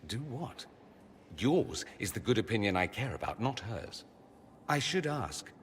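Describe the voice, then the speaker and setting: A man's voice: lowish voice, very animated, measured pace, slight background noise. A man playing a proud, witty mage nobleman in a video game, speaking with arch, sardonic politeness. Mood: earnest, tender. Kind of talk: dramatic video game dialogue. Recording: music behind the voice.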